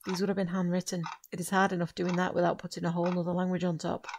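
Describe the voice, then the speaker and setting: Firm, low-voiced young witch played by a woman. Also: monotone, rapid-fire, darkish tone, slight background noise, close to an everyday voice. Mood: firm instruction. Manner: firm, instructive, formal phrasing. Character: composed, bossy young female witch and spell tutor. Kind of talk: audio drama acting.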